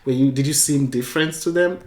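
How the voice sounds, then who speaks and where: A man from Nigeria with a Nigerian accent hosting a podcast, telling stories with animated energy and repeating words as he goes. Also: medium pitch, fast talker, roomy sound. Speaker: podcast host. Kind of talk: casual interview chat.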